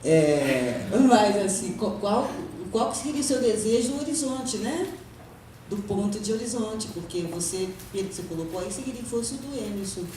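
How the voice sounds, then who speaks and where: A woman's voice: mid-range voice, lively intonation, normal speed, warm tone, a little hiss or hum. A woman moderating a panel talk, asking long questions with hesitant repeats and frequent fillers. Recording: echoey room, distant mic.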